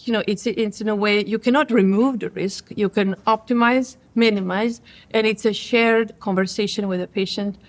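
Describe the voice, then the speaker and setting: A woman's voice: mid-range voice, steady tone, moderate pace. Reflective woman from Croatia. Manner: thoughtful, with many restarts and fillers. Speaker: cardiologist guest. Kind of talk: conference interview.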